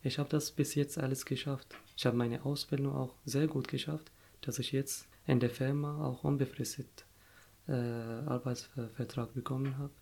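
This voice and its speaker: A young adult man, an Arabic native speaker, talks through his experiences as an interviewee. He speaks haltingly with many fillers, stopping to find the right words. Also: medium pitch, steady tone, creaky, dark tone. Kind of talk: casual personal story.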